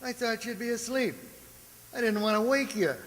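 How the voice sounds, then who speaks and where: A high, forceful male voice as an actor plays a puzzled husband in an old radio thriller. He talks in a plain, colloquial way, and the hall rings around him. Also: moderate pace. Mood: concerned, puzzled. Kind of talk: old-time radio drama acting. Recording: echoey hall, live stage performance.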